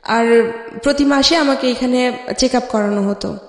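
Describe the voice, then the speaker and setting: An interview guest from Bangladesh telling a personal life story in a hesitant, halting way with frequent pauses. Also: mid-range voice, creaky. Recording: echoey hall with long reverb.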